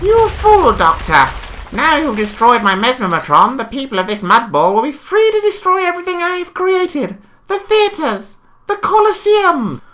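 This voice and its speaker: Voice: high-pitched, big pitch swings, moderate pace, fairly bright tone, rough recording. A performer voicing a theatrical gloating rodent overlord in a comic sci-fi audio drama, with pompous, sneering delivery. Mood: furious.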